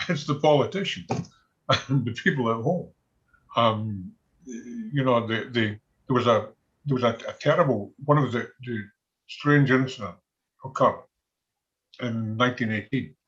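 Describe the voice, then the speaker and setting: Halting older Scottish man, dull audio. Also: medium pitch, fairly bright tone. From Scotland. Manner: halting, conversational, chuckles often. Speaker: military historian and former soldier. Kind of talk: informal talk on military history.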